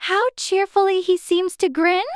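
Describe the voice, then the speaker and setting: A woman's voice: extremely high pitch, steady tone, a little slow, no echo, clean studio sound. A woman voicing an anxious, chatty little girl in a dramatized storybook, fretfully talking to herself in prim childish exclamations. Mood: reciting. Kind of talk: dramatized audiobook character acting.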